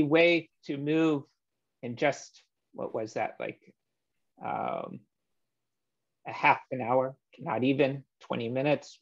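Man, 30s, meetup coding presenter; slow, gravelly, filler-heavy delivery; muffled video-call audio. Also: mid-range voice. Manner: relaxed, explanatory, many "um" fillers. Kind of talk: screen-share coding walkthrough. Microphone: webcam or laptop mic on a video call.

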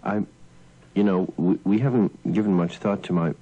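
An actor playing an earnest, nervous son in a soap opera, halting and stammering as he speaks to his mother. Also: deep voice, phone-quality sound. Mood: earnest, hesitant. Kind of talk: soap opera acting, outtake.

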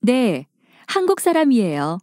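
Woman reading as a bright friendly young woman. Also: fairly high pitch, huge pitch swings, measured pace. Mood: polite. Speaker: dialogue voice actress. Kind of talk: textbook listening dialogue.

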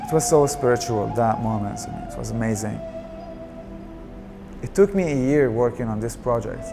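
Soft-spoken Syrian man over music. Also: mid-range voice, expressive, normal speed, vocal fry. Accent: Syrian Arabic. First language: Arabic. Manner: soft-spoken, reflective, with restarts. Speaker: artist. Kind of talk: documentary interview. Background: background music.

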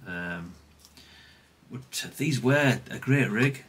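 A man's voice: mid-range voice, lively intonation, extremely slow, a bit bright, breathy edge. A middle-aged British man giving casual, unscripted workbench commentary in a rambling, chatty, plain-spoken way. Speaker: hobbyist radio repair vlogger.

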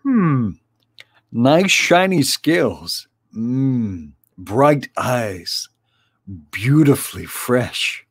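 A man voicing an enormously fat, jolly male mouse friar and cook who squeaks and chuckles excitedly. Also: very slow, slightly rough, exaggerated voice. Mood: pleased.

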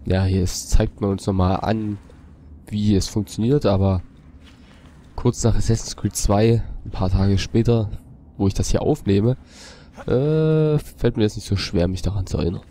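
A man's voice: fairly low pitch, moderate pace, mellow, a little hiss or hum. A man with a cold, casually commenting on the game he is playing and muttering asides to himself. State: has a cold. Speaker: let's play host.

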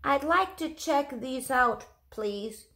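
A woman's voice: high voice, expressive, slow, gravelly, sharp tone, pitched above the performer's own voice, exaggerated voice. A woman voicing a determined young boy in a storybook, polite, earnest and emphatic. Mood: quiet, serious.

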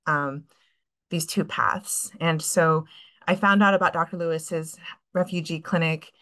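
Low-voiced woman doc in her 30s, from california, candid, on a webcam call from a clinic room. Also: fairly even intonation, measured pace, fairly bright tone. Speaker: obstetrician. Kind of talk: self-introduction on video call. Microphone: computer webcam on video call.

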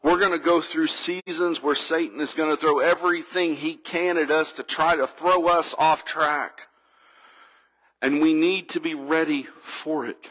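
A man's voice: on the high side, steady tone, unhurried, a bit bright, rough recording. A man preaching a sermon as a church pastor, earnest and reflective, building through repeated phrases. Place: church sanctuary.

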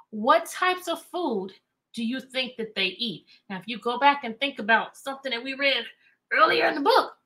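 A middle-aged American woman reading aloud to children as a teacher, animated and chatty, often asking questions. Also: fairly high pitch. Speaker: teacher and read-aloud host. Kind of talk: interactive children's read-aloud lesson. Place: indoor room with bookshelves.

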